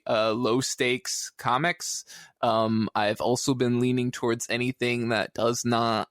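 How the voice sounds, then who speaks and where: A man's voice: mid-range voice, no room sound. Rambling male podcaster, very dry close sound. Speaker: podcast co-host. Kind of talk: casual comic book review chat.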